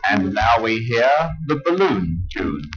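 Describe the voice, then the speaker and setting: Deep-toned radio narrator, vintage man's voice. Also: very animated, fairly bright tone. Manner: measured, formal, explanatory. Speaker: narrator of a radio programme on film music. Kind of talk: scripted 1950s radio narration. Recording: dull 1950 radio acetate disc recording. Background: orchestral film music.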